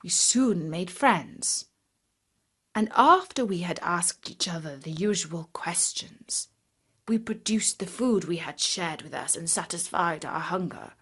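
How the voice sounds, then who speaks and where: A humble, penitent blind beggar from a children's storybook, as a woman reads him. The voice is husky and slightly low, pleading slowly in formal, old-fashioned phrases. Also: lively intonation, fairly bright tone, exaggerated voice. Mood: recounting, solemn. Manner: formal, beseeching storybook speech.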